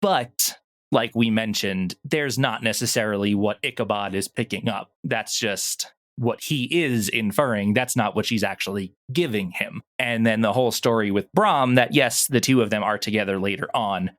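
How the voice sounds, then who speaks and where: A man's voice: on the low side, moderate pace, fairly bright tone. A man talking as an interview guest in a conversational, explanatory way about his work. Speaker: audio drama producer, interview guest.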